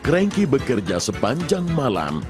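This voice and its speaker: A man's voice: medium pitch, huge pitch swings, measured pace, over music. A man reading scripted narration for a children's story as the narrator.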